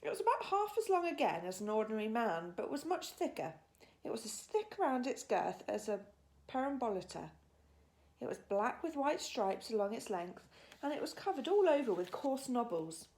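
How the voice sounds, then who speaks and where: Expressive woman storyteller, lively pitch. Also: normal speed. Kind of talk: expressive bedtime story narration.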